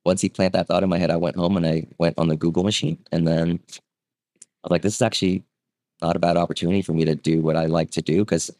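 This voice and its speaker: A man's voice: low voice, level tone, quick pace, a little mellow, dry recording. A man in his thirties, born in Vietnam and raised in Texas, telling his story as a podcast guest, chatty, full of "right?" and acted-out quotes. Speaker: guest, real estate agent. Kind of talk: casual podcast conversation.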